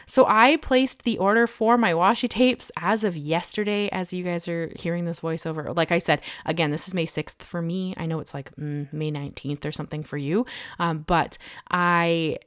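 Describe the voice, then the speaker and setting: Crafting host, woman, a bit under the weather with a cold; chatty, low-energy, dry close mic. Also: fairly even intonation, moderate pace, clean tone, no room sound. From Canada. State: has a head cold and sore throat. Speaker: card-making channel host. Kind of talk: crafting tutorial voiceover.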